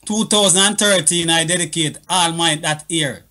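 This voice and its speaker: A man's voice: a little high, normal speed, a crowd in the background. A man talking as a panelist on a radio show over a call, earnest and full of "you know". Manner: earnest, patois phrasing, lots of "you know". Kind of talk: casual radio chat. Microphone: video call.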